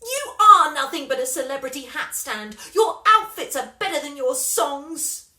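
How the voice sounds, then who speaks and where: A woman's voice: sits high, unhurried, roomy sound, pitched above the performer's own voice, exaggerated voice. A woman voicing an eager, loyal young daughter in a storybook, outspoken and emphatic. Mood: furious. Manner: excitable, emphatic.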